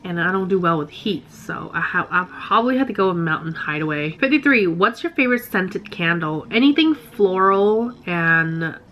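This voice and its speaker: A woman's voice: fairly low pitch, expressive, moderate pace, hardly any pauses, lo-fi sound. A woman in her twenties who sounds nasal from a cold, casually reading questions aloud and answering them chattily in her bedroom. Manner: reads each question number brightly, then answers chattily. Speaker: beauty vlogger.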